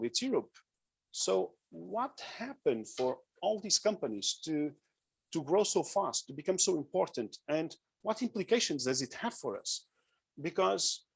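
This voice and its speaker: A man's voice: medium pitch, husky, dry recording. A Portuguese man with a Portuguese accent giving an informal conference presentation, joking at his own expense and using many fillers. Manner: informal, self-deprecating, with frequent fillers and restarts. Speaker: conference speaker. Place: conference room.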